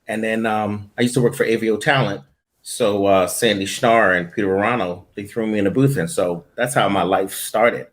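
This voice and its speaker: A man of middle age with a somewhat low voice talks through his coaching work in a relaxed interview. Now and then he slips into playing out the notes he gives actors. His tone is loose and conversational. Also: moderate pace. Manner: animated storyteller who acts out booth directions. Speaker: voice-over audition director and coach. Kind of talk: casual interview talk.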